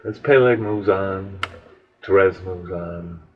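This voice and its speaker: A man telling a biblical story in an improvised, conversational way, halting and rambling as he reflects. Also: sits low, husky. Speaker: storyteller, podcast host. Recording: dull lo-fi audio with background noise.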